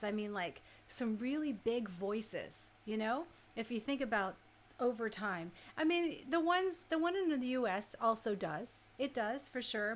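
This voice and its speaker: A woman radio host chatting casually and informally, thinking out loud as she shares her opinions. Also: mid-range voice, a little hiss or hum.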